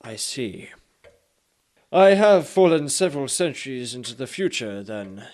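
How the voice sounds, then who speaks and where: An actor playing a haughty, bewildered Renaissance knight in a comic radio play, declaiming in archaic, self-important speech. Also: male voice, medium pitch, sing-song, measured pace, darkish tone. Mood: puzzled, earnest. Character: pompous Renaissance knight, bewildered time traveller, haughty and literal-minded.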